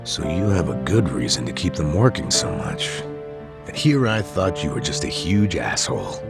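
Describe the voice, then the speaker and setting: A very deep, world-weary man. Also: big pitch swings, normal speed, vocal fry, over loud music, exaggerated voice. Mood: gruffly approving. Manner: blunt, grave, explanatory.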